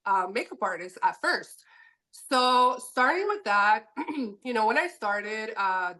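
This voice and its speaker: A French Canadian woman, a native French speaker, chatting in a lively way as a podcast guest. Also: mid-range voice, low-quality recording. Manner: lively, chatty, laughs easily. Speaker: podcast guest, permanent makeup educator. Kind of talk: podcast interview.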